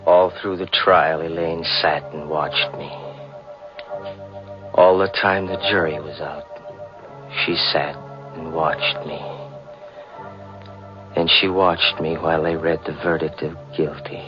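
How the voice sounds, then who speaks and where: An actor playing a guilt-ridden, hysterical murderer cursed with immortality in a melodramatic 1940s radio thriller. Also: male voice, very deep voice, fairly even intonation, slow pace, pauses often, over music. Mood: bleak, haunted narration. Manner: melodramatic, breaking into sobbing laughter. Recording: muffled vintage radio recording.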